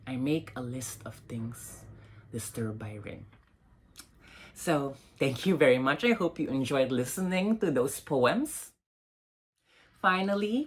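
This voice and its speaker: A woman with a very deep voice delivers a prepared literary reading as the host. Faint background noise sits behind her words. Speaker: event host introducing writers and reading poems. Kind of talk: poetry reading and scripted introduction.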